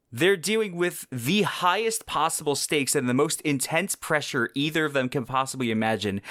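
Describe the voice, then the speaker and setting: A man's voice: medium pitch, fairly bright tone, no echo. Enthusiastic man reviewing a book, dry mic. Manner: enthusiastic, wry asides. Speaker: podcast host reviewing a novel. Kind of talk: scripted book review monologue.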